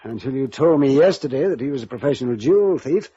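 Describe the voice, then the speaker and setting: Weary, mellow, husky old gentleman. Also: mid-range voice, animated, no echo. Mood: hesitant. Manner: halting, mournful, courtly. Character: weary, resigned, genteel elderly uncle. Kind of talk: vintage radio drama acting. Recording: muffled vintage radio recording.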